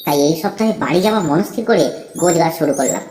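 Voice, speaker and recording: A woman with a fairly low voice narrates a horror tale. Her delivery is dramatic and deliberate, with wide rises and falls in pitch. The sound is roomy and echoing, with soft music behind her. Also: expressive. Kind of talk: scripted first-person horror story narration.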